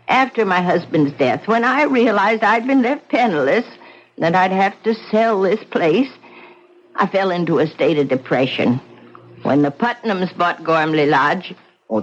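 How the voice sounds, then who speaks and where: An actress playing a bitter, superstitious old widow in a radio drama, speaking in a dire, theatrical way. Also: female voice, deep voice, animated, normal speed. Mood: mournful. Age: older. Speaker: widow in wheelchair. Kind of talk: vintage radio drama acting. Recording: dull old radio recording.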